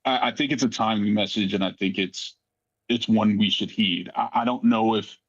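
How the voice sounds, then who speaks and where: A man who works as a voice actor, speaking off the cuff in a thoughtful, halting way as he reflects during a cast discussion. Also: on the low side, fairly even intonation, slightly rough, no echo, muffled recording. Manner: thoughtful, halting, searching for words. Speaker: voice actor in a fiction podcast.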